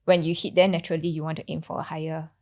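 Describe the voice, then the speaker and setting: A woman's voice: low-pitched, little pitch movement, rapid-fire, a little mellow. A woman with a Singaporean accent, explaining ideas conversationally as a podcast co-host. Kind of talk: casual explanatory chat.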